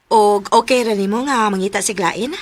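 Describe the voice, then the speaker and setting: A woman's voice: medium pitch, normal speed, no echo, lightly acted. A woman playing a teasing young best friend in a radio drama, with a Cebuano accent. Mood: curious. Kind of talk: Filipino radio drama acting.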